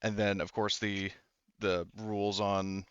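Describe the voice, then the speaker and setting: A man's voice: on the low side, little pitch movement, measured pace, fairly bright tone, clean tone, dry recording, muffled recording. A man talking casually as game master of a tabletop roleplaying game.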